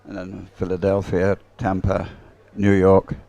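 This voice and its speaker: Low-voiced, mellow man commentating on sport. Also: monotone, measured pace, creaky. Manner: rambling, hesitant, thinking aloud. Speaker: race commentator. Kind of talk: live dragon boat race commentary.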